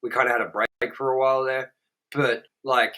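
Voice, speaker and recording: A man's voice: medium pitch, level tone, brisk, sharp tone, low-quality recording. A man co-hosting a podcast, talking in a rambling, casual way, full of fillers and swearing. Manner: rambling, filler-heavy, frequent "like" and "you know what I mean". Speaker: podcast co-host. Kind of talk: casual chat, swearing freely.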